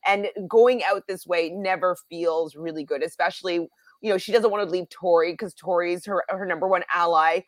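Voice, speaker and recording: A woman's voice: lowish voice, fairly even intonation, moderate pace, dry recording. A woman chatting casually and giving her informal opinions as a panelist on a recap show. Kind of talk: casual chat.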